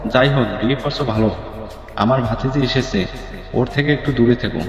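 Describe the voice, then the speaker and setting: A man's voice: medium pitch, steady tone, fairly quick, natural voice. A man playing a stern, questioning middle-aged uncle in an audio story drama. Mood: disapproving. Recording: dull echoey recording, music underneath.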